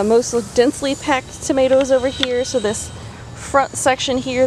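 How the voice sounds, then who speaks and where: A woman's voice: fairly high pitch, background noise. A woman vlogger giving a casual walking tour of her backyard vegetable garden, pointing out plants one by one, filmed on a handheld camera outdoors. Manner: chatty, pointing things out plant by plant. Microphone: handheld phone camera, filming while walking.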